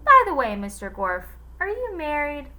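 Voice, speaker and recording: A woman's voice: huge pitch swings, moderate pace, bright, forceful tone, exaggerated voice. A woman doing a sweet, giggly teacher. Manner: high, sweet and flirty.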